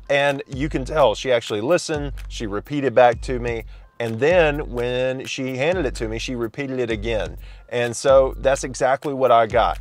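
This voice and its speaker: A man in his thirties talks loudly and chattily into a phone held at arm's length. He sits in a parked car and gives an upbeat, casual vlog review in a mid-pitched voice. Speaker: coffee shop reviewer. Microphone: phone camera at arm's length.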